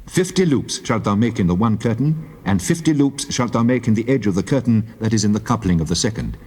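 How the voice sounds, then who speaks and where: A man playing God as a solemn, commanding deity, giving measured, declamatory instructions in a dramatized scripture reading. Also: lowish voice, animated, darkish tone, slight background noise. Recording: long cavernous reverb.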